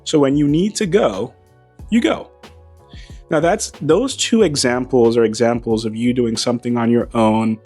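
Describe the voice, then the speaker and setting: Host, male, slightly hoarse voice, wry and playful delivery, mid pitch, small clip-on mic in a hangar, faint music under him. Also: normal speed, darkish tone. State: losing his voice, slightly hoarse. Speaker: aviation channel host. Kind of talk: casual monologue to camera. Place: beside a plane in a hangar. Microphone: small wireless mic.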